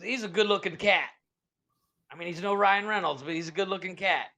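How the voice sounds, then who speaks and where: A man's voice: high-pitched, fairly quick, bright, forceful tone. A man co-hosting a podcast, chatting loosely, laughing and swearing freely; his first language is Spanish. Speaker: podcast co-host. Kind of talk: casual profane banter.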